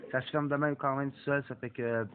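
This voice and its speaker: A man's voice: medium pitch, fairly even intonation, a little fast. A young man with a Québécois accent who has a cold, commenting on a mobile racing game, swearing and apologizing for it. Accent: Québécois French. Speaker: gaming YouTuber. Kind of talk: casual gameplay commentary. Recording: phone-like lo-fi, faint hiss.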